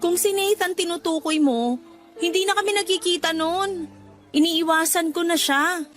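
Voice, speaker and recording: A young Filipina woman in a radio love drama, her voice set high. She is remorseful and hard on herself, yet playful with the one courting her. Soft music runs underneath. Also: normal speed. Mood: light, teasing. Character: remorseful young daughter, self-blaming yet playful with suitor.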